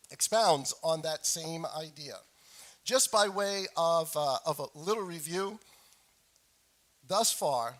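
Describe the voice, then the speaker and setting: Middle aged guy preaching at church, voice kinda high and hoarse like he's getting over a cold, repeats stuff for emphasis. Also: a bit bright. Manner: frequent throat clearing; deliberate, repeats phrases for emphasis. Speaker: pastor preaching to his congregation. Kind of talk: sermon with scripture reading.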